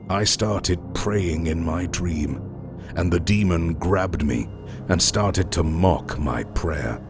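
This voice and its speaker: A man reading scripted horror stories as a narrator, in a deliberate, suspenseful way with drawn-out phrase endings. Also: sits low. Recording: music under the voice. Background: background music.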